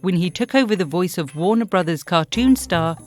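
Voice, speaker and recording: A woman's voice: on the low side, expressive, normal speed, darkish tone, soft background music. A woman hosting a documentary series, reading scripted narration.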